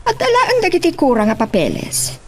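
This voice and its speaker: A woman with an Ilocano accent acting a lively woman in a domestic romance radio drama. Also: very high voice, fast talker, slight background noise, heavily acted. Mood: busy, chatty.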